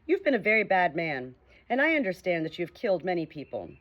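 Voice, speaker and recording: A woman voicing a kindly, polite male animal doctor who speaks in a mild, measured way, in a storybook reading. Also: fairly low pitch, big pitch swings, highs cut off.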